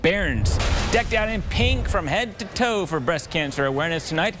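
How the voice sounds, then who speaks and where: A man delivering scripted sports news and highlight narration as a TV reporter and anchor. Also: lively intonation, moderate pace, music in the background.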